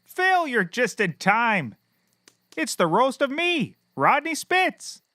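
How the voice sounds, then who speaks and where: An actor playing a deluded, cheerfully oblivious private investigator who talks excitably and boastfully in a scripted comedy. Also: male voice, very high voice, slow, no echo, clean studio sound, heavily acted. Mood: excited. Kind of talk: scripted comedy audio acting.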